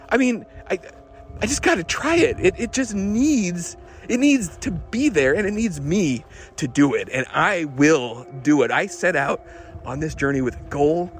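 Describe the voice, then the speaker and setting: A man acts out an unravelling car builder recording his late-night confessions. He talks in halting, stammering bursts, fixated and obsessive, as if in a private audio diary. Music runs under the voice. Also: sits high. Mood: desperate, pleading.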